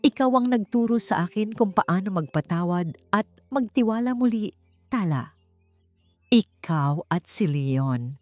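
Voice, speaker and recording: A woman's voice: mid-range voice, lively intonation, unhurried, darkish tone, no room sound, lightly acted. A woman narrator voicing a devoted husband in love, speaking earnestly and tenderly in a romance story. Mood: serious, loving. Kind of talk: dramatic romance storytelling dialogue. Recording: music under the voice.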